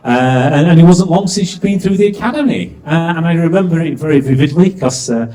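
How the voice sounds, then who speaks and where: Man, Yorkshire accent, middle-aged, event compere, jokey and chatty, very animated delivery. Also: a little high, brisk. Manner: chatty, jokey, quizzes the crowd. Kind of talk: live stage compering to an audience. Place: event stage before a live audience.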